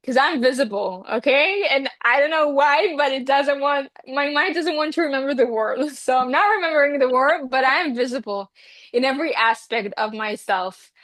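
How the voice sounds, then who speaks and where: A woman's voice: sits high, normal speed, fairly bright tone, no echo. A woman in her twenties whose first language is Hebrew, a Reiki healer speaking in an interview in an earnest, rambling way with many fillers. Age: 20s. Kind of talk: casual interview talk.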